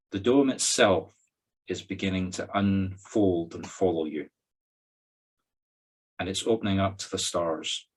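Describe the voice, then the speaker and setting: A Scottish man with a deep voice acts as a medium guiding a spiritual circle. He leads the meditation in short, spaced-out phrases, directing listeners through each step. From Scotland. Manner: slow, pausing instructions in short phrases. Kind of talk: guided meditation.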